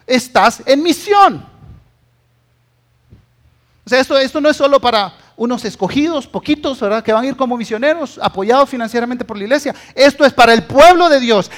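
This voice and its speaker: A male pastor with a Guatemalan accent delivers a sermon in a notably high-pitched voice. He exhorts his listeners emphatically and punctuates his points with short rhetorical questions. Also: fairly bright tone.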